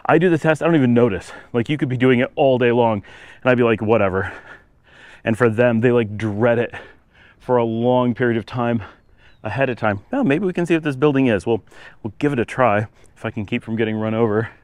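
A man with a medium-pitched voice narrates a travel vlog as he walks outside. He talks casually and rambles, thinking aloud as he goes. The sound is dry, with no echo. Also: a little fast. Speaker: travel vlogger. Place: outdoors, walking on residential city streets.

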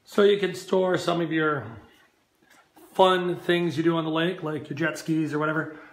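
A hoarse man in his forties with a Minnesotan accent talks into a phone he holds at arm's length, outdoors on a lakeside deck. His voice sits a little high for a man. He rambles earnestly, a real estate agent cheering his viewers on. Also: flat delivery, normal speed. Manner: rambling, earnest pep talk. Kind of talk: live property tour vlog. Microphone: phone held at arm's length.

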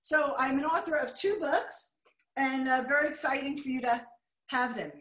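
An older American woman giving a chatty, excitable slideshow lecture on a video call, now and then apologizing when the slides skip. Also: high-pitched, moderate pace. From United States. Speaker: art deco lecturer. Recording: echoey room.

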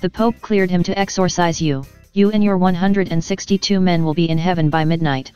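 Woman playing a smooth, unflappable investigator. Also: lowish voice, steady tone, brisk, darkish tone, dull audio, faint music behind, natural voice. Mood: matter-of-fact. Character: no-nonsense, matter-of-fact, unflappable investigator. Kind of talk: comic audio drama.

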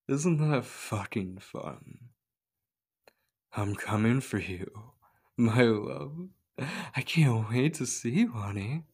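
A man's voice: on the low side, husky, full character voice. A man playing a possessive, obsessive stalker in an ASMR roleplay, menacing and coaxing, often dropping to a whisper. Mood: gleeful menace. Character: possessive, obsessive male stalker hunting his beloved.

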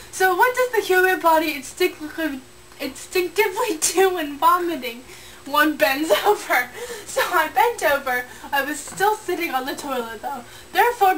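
A woman's voice: very high-pitched, a bit bright. A young woman reading a comic story aloud in a giggly manner, in a bedroom. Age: young adult. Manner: giggly, breaking into laughter while reading. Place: small bedroom, indoors. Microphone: handheld camera a short way off. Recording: distant mic, noticeable room noise.

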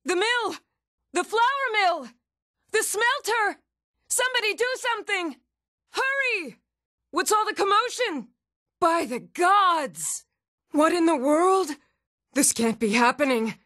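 An actress playing an earnest, even-tempered townswoman in a scripted fantasy video game. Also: very high voice, slow pace, pauses often, dry recording, clean studio sound, full character voice. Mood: alarmed.

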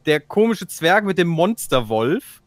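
Sardonic, very animated higher-voiced man. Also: unhurried. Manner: sardonic, exaggerating. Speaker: player in tabletop game. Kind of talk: casual, joking game chat.

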